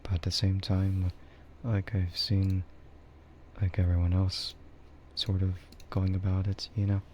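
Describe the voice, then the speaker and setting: A soft-spoken man streaming casual, rambling ASMR, often slipping into a half-whisper close to the microphone. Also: low-pitched, completely flat delivery, measured pace, a little hiss or hum. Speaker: VTuber livestreamer.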